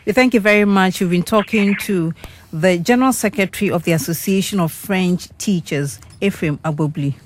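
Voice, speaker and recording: A woman presenting radio news who asks her guest brisk, formal questions. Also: on the low side, slight background noise. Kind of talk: news interview.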